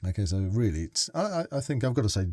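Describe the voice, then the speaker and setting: An older man co-hosting a podcast, chatting and teasing his guest in a lively way. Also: on the low side, quick pace, dry recording, clean studio sound. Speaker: podcast co-host. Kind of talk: casual interview chat.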